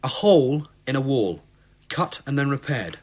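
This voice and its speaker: An actor playing a skeptical police inspector in a radio play, clipped and dismissive. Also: male voice, mid-range voice, sing-song, normal speed, slightly rough. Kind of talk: radio drama acting.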